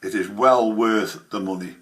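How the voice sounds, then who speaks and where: A man giving a casual, rambling, halting software demonstration as a hobbyist. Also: on the low side, steady tone. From the English Midlands.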